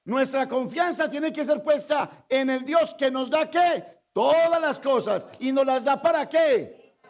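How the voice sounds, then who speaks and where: A man from Colombia with a Colombian Spanish accent, preaching a sermon as pastor, quizzing the congregation and swinging between loud emphatic lines and low confiding asides. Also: measured pace. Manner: call-and-response preaching, asks the congregation to fill in words.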